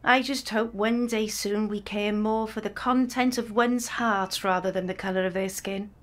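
An actress playing a devoted, proud young mother in a period audio drama, with a gentle, candid manner. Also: mid-range voice, fairly even intonation, normal speed, natural voice. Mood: earnest.

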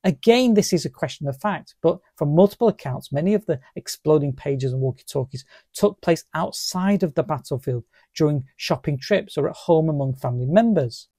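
A middle-aged man presenting a scripted legal explainer in a formal, deliberate manner. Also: on the high side, expressive, mellow, no room sound, clean studio sound. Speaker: presenter of legal education video.

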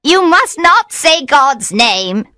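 A woman's voice: high-pitched, very animated, takes it slowly, pushed and bright, no room sound, studio quality, pitched above the performer's own voice, full character voice. A woman voicing a proud, secretive witch in a storybook, cornered and frightened, crying out dramatically. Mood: panicked, indignant. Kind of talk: dramatic storybook character reading.

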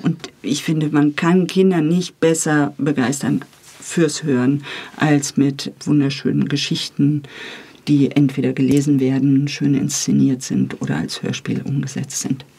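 Enthusiastic woman with a husky voice. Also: low voice, unhurried, dark tone. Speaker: guest, radio drama author, editor and director. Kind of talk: relaxed interview conversation.

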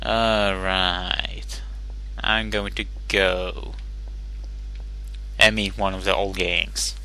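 A man's voice: sits low, little pitch movement, very slow, gravelly, bright, forceful tone. A young man with a Swedish accent, commentating his gameplay in a crude, swearing rant. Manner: crude, angry ranting with swearing. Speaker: let's play gamer.

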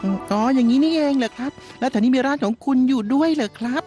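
A woman voicing a shy, gentle young man in a dubbed cartoon, polite and hesitant, often stammering. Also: sits high, quick pace, close to an everyday voice. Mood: kind. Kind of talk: Thai dub of a Japanese cartoon. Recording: music bed behind dialogue.

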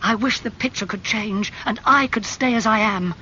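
A vain, impassioned young man, as an audiobook reader plays him, his voice raised and swinging widely as he pleads. The sound is slightly muffled over a faint rumble. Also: big pitch swings, normal speed, sharp tone. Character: beautiful young man, vain and desperate about aging.

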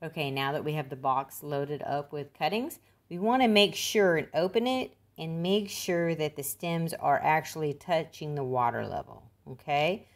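Older woman, low voice, folksy and plain-spoken, for casual home gardening how-to narration. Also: moderate pace, few pauses. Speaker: gardening demonstrator.

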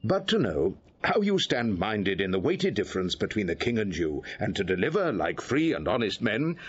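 A man's voice: lowish voice, very animated, moderate pace, husky, dull audio. A man playing a solemn, persuasive cardinal in a Shakespearean audio drama, speaking with formal ceremony. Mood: solemn, conciliatory. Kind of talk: Shakespearean radio drama acting.

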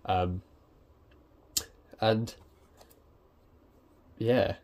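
A young man chats through an unboxing, his voice pitched very low. He hesitates often and restarts his sentences. A faint background noise sits under the recording. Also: fairly even intonation, drawn-out words. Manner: hesitant, lots of ums and restarts. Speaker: tech reviewer. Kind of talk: casual unboxing commentary. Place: indoors at a desk.

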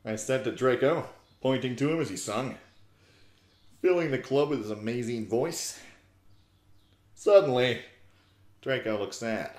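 Guy in his 20s reading a story all mock serious and theatrical, mid pitch, bit of room echo. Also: lively intonation, measured pace, long pauses, darkish tone. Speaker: narrator, YouTube host. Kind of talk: theatrical comic fan fiction reading. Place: small living room, indoors. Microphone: desktop USB microphone close by.

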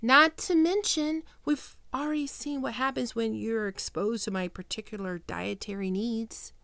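A woman playing a guilt-ridden, tender young ghoul best friend in an intimate ASMR roleplay, speaking softly and haltingly with emotion. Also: a little high, creaky, no room sound. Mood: hesitant, nervous. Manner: soft, hesitant, emotional, halting.

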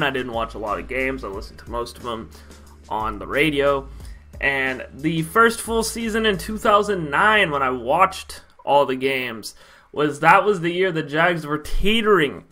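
A young American man from Idaho telling a story casually to camera, talking in an animated, slangy, emphatic way. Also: fairly high pitch. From Idaho, United States. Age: young adult. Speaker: YouTube vlogger. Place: small bedroom, indoors.

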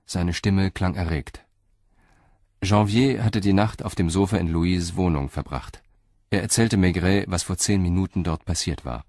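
A man narrating a scripted crime story as a radio drama narrator, in a sober, measured manner. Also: low-pitched, a little mellow, no room sound, studio quality.

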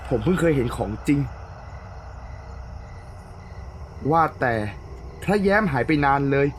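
Man playing an earnest, higher-voiced man. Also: animated, brisk, slight background noise, close to an everyday voice. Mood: uneasy. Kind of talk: animated ghost story dialogue.

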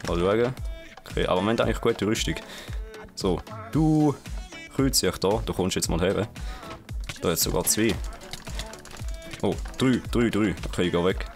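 A young man with a Swiss German accent talks through a round of a game as he plays it. His voice is low, and his delivery is casual and excitable, full of quick reactions and fillers. Faint music plays beneath him. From Switzerland. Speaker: gaming channel host. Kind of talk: live game commentary.

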